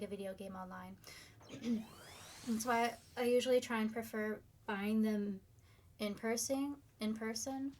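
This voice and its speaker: A young woman, a bit hoarse, chatting casually with her viewers on a live stream. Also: mid-range voice. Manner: chatty, upbeat, reads viewer comments. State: slightly hoarse, losing her voice.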